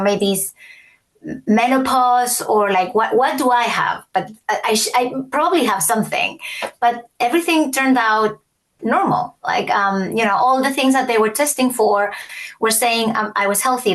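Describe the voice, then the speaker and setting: A woman in her forties, originally from Chile, talks through her story as an interview guest. Her voice sits fairly high, and she often pauses, repeats words and restarts her sentences. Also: poor audio. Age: 40s. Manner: hesitant, restarts often, laughs lightly. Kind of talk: casual interview.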